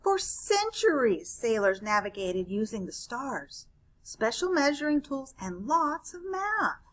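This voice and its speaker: A woman's voice: a little high, big pitch swings, unhurried. A woman reading a children's picture book aloud, voicing a curious, cheerful space alien who explains things eagerly. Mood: eager explaining.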